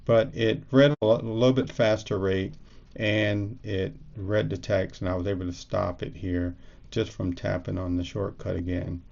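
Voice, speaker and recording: A man's voice: fairly low pitch, little pitch movement, normal speed, vocal fry, darkish tone, muffled recording. A man presenting a casual step-by-step tech tutorial as a screencast.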